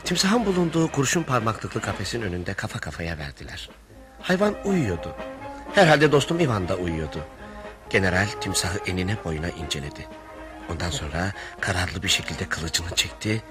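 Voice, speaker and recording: A man's voice: deep voice, sing-song, normal speed, over music. A man playing a wry male narrator recounting his friend's misadventure in a radio play, speaking formally. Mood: wry narrating. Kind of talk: radio theatre storytelling.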